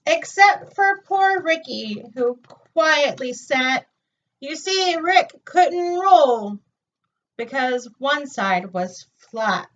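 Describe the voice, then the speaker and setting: A woman reading a children's picture book aloud with an expressive, singsong delivery, in a dull, distant recording. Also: sits high, very slow. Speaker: storytime reader.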